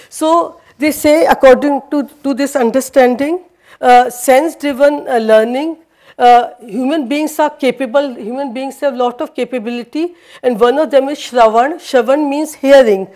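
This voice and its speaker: A high-pitched woman whose first language is Hindi lectures to a university class. She speaks haltingly, full of fillers and restarts, and is recorded from across a lecture room by a far camera. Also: darkish tone. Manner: hesitant, many fillers and restarts, switches into Danish.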